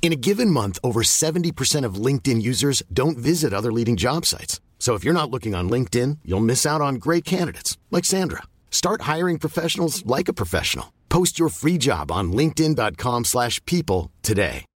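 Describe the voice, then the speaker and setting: A man's voice: mid-range voice, big pitch swings, a little fast, no room sound, clean studio sound. A man announcing a scripted advertisement. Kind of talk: scripted ad read.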